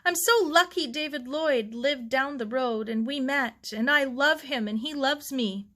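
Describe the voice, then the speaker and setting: A woman reading a children's novel aloud, voicing an excited, affectionate young bride-to-be in a gushing, dramatic way. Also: high-pitched, a little slow, voice pushed up. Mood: dreamy, elated. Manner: gushing, effusive.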